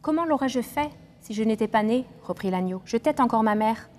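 A woman's voice: a little high, fast, slight background noise. Chatty woman talk-show co-host. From Germany. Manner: animated, talkative, many fillers. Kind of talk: fable recitation and talk-show chat. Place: TV studio.

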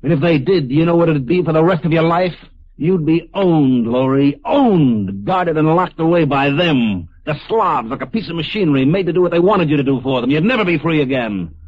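High-voiced, husky, defiant killer. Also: male voice, expressive, moderate pace. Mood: desperate defiance. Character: defiant, selfish, hot-tempered male killer. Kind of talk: 1950s American radio drama acting. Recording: dull, band-limited old radio recording.

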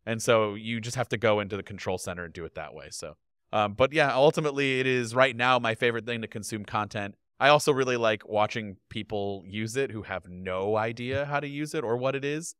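A man in his thirties talks casually as a podcast guest, animated and quick with his gestures. His voice is bright and smooth, with a slightly low pitch. He is recorded close on a boom mic in a dry-sounding home studio. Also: no room sound. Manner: animated, gestures a lot while talking. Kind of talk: casual chat. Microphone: podcast mic on boom arm.

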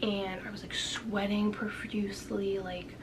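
A young woman with a slightly raspy voice, chatting to her webcam in a small bedroom, full of "like" fillers and often dropping into a whisper. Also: mid-range voice, level tone, measured pace, noisy background. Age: young adult. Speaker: vlogger. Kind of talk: casual storytelling to camera.

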